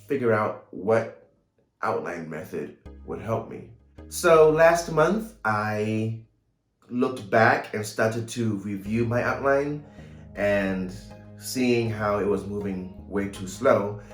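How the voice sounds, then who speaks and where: A man in his thirties talking casually to camera in a vlog, measured, pausing between phrases. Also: on the low side. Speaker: writer and vlogger. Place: small home room, indoors. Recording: roomy echo, light music behind.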